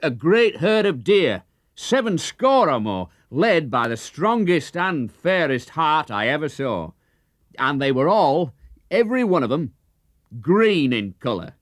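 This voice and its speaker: A man's voice: fairly high pitch, sing-song, a little slow, dry recording, clean studio sound, pitched above the performer's own voice. A man voicing a bold, sly outlaw who talks eagerly and persuasively in a children's audiobook. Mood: feigned awe.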